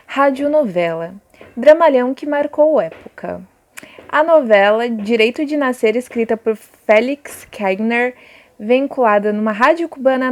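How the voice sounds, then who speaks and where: Female schoolteacher, Brazilian accent, fairly high voice, informal and direct lesson delivery. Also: darkish tone. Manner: addresses pupils directly, rhetorical questions. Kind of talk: informal recorded lesson, with reading aloud from textbook.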